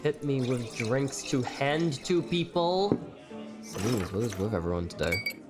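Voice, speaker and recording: A man's voice: mid-range voice, animated, a little slow, lightly acted. Sassy, sardonic young waiter played by a man. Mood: snappy. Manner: teasing, sarcastic, sweary. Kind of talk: audio drama acting with first-person narration. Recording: faint music behind the voice.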